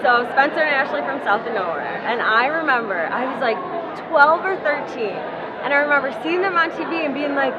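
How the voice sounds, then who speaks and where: A woman's voice: sits high, lively intonation, fairly quick, dull audio. A woman at a fan convention, reminiscing eagerly in a casual interview. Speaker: convention attendee. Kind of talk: casual vox-pop interview. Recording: loud music and crowd.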